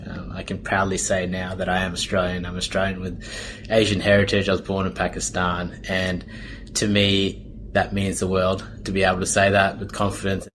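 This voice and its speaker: A man giving a candid, steady acceptance speech. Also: deep voice, moderate pace, background noise. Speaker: award speech giver.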